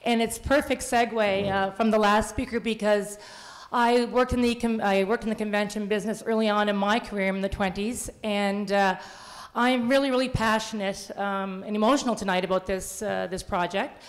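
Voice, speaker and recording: A woman in her forties takes the floor at a public consultation meeting. She talks fast and chattily in a mid-pitched voice, with an openly nervous edge. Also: fairly even intonation.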